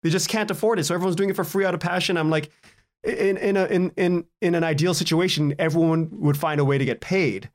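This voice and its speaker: Guy on a podcast interview, kinda high voice, chatty and informal, thinks out loud in stops and starts. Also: steady tone, fast talker. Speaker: guest, writer and podcaster. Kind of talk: casual interview chat.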